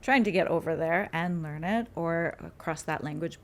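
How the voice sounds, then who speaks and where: A woman from Canada, a naturopathic doctor, explaining her work steadily as an interview guest, with "um" pauses. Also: sits low, slight background noise. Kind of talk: casual interview chat.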